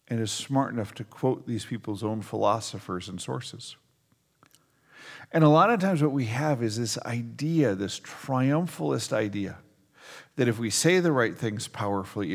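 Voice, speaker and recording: A man's voice: mid-range voice, big-room sound. A middle-aged man preaching a sermon to a congregation in a conversational style, leaning hard on key words. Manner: conversational preaching with emphatic stresses. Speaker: pastor.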